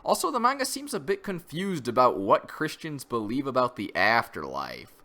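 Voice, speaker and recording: A man's voice: medium pitch, bright, forceful tone. A man giving an opinionated, conversational review commentary, critical and semi-scripted. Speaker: host of a comic review channel.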